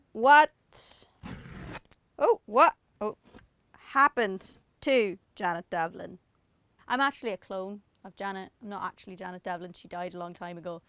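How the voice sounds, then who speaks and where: A woman's voice: medium pitch, measured pace, a bit bright, clear, resonant tone, dry recording. A young woman from Ireland with an Irish accent, chatting casually and self-mockingly to camera as she answers questions about herself. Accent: Northern Irish. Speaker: singer answering autocomplete questions.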